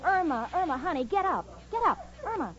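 A woman's voice: extremely high pitch, big pitch swings, fairly quick, a bit bright, heavily acted. An actress playing a sensible young woman in a 1940s radio sitcom, talking with weary, pleading exasperation. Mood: exasperated. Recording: dull old radio broadcast with audience laughter.

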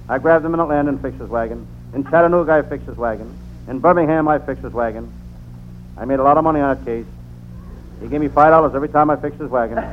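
An actor playing a deadpan, world-weary private detective narrating an old-time radio comedy. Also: male voice, on the high side, close to an everyday voice. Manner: deadpan, punning first-person delivery. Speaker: narrator and lead character. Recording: noisy, narrow-band vintage radio recording.

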